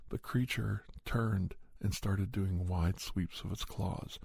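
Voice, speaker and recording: A man narrating a scripted horror story in a soft, gravelly ASMR style, deliberately and dramatically, in short phrases broken by pauses. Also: sits low, fairly even intonation, no room sound. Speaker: storyteller and podcast host.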